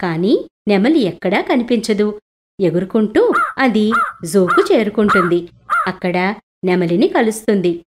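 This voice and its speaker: A woman storyteller giving scripted narration of an animated moral story. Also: medium pitch, a little slow, pauses often, heavy background noise.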